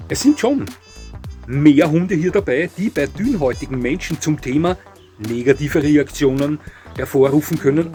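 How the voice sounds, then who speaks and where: A man's voice: medium pitch, warm tone. A man giving spoken commentary, frank and matter-of-fact, with many fillers and self-corrections. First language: not Portuguese. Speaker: dog breed commentator. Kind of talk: spoken commentary on a breed ranking.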